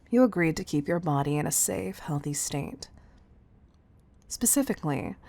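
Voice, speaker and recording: A woman voicing a stern yet doting tough-love CEO in an audio roleplay, speaking in a measured, condescending way with pet names. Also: sits low, warm tone. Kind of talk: scripted audio roleplay addressed to the listener.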